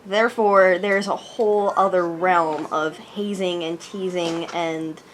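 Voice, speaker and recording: A woman's voice: on the low side, fairly even intonation, takes it slowly, slight background noise, rough recording. A woman taking part in a book discussion, explaining her point.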